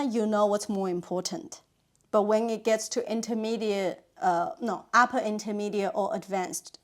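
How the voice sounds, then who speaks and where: Woman musing in conversation. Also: mid-range voice. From China. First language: Chinese. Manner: thoughtful, many "you know" and "uh" fillers. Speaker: guest, language content creator. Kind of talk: casual interview chat.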